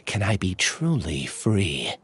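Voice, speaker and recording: A man playing a solemn, resigned character in a video game, speaking in a measured, formal and grave way. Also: sits low, huge pitch swings, slight background noise, heavily acted. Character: solemn, resigned man choosing to join a hive mind. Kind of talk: English video game drama acting.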